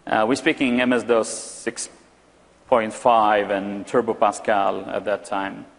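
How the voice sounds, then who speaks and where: A man whose first language is Arabic, presenting a live security demo at a conference and often pausing with fillers and restarts. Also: on the low side, little pitch movement, gravelly. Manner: hesitant, many fillers and restarts. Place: large conference hall. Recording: long hall reverb.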